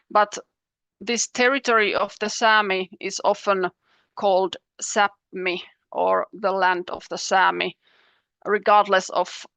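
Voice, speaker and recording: Woman on a video call, professor giving a talk, smooth clear voice, dry sound, measured with pauses. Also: mid-range voice, fairly bright tone, no room sound. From Finland. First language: Finnish. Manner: measured and explanatory, with frequent pauses and restarts. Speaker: professor, panelist. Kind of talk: academic presentation.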